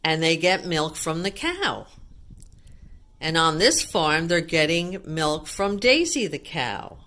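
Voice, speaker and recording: A woman's voice: sits low, very animated, takes it slowly, bright, forceful tone. A woman reading a picture book aloud to young children, asking simple questions and explaining things as a teacher would.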